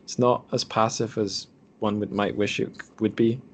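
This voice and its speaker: A man's voice: fairly low pitch, steady tone, normal speed, a little hiss or hum. A man hosting a casual podcast conversation, thinking aloud a little hesitantly. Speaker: podcast host.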